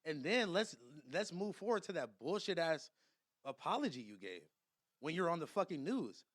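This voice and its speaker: A man's voice: a little high, big pitch swings, moderate pace, fairly bright tone, no room sound, studio quality. A man in a heated podcast argument, speaking confrontationally with a lot of profanity and repeating himself.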